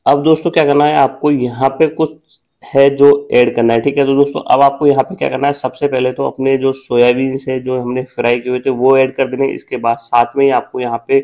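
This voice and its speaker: A man talks through a cooking recipe step by step. His voice stays flat and even, with little rise or fall. He repeats the same instructional phrasing as he goes. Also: medium pitch, brisk. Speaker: cooking channel host.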